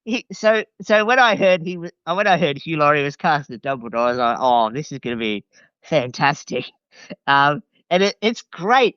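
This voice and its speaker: A man's voice: mid-range voice, fairly bright tone, clean tone, dry recording. Enthusiastic man, slightly dull recording. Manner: enthusiastic, informal. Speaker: podcast guest. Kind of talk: casual chat.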